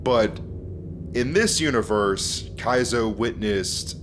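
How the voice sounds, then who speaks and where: A man's voice: medium pitch, animated, slow pace. A man hosting a video essay, explaining things in casual, conversational commentary. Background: music.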